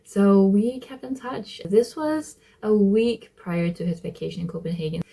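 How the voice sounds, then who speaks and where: A woman from Denmark hosting her own vlog, narrating a measured, clear personal story as a voice-over. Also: mid-range voice, dark tone. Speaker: vlogger, channel host.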